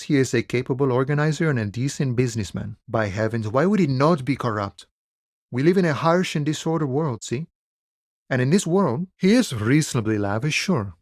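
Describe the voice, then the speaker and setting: An easygoing male harbor striker from a video game, a lazy philosopher with wry, folksy musings. His voice sits a little high for a man and moves with very lively, swinging intonation. The sound is close and dry, with no room on it. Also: dry recording. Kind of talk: video game dialogue acting.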